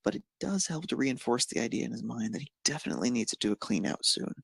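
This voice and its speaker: A man's voice: mid-range voice, expressive, quick pace, vocal fry, husky, no room sound, studio quality. A man reading scripted fan fiction narration as the narrator of an amateur story.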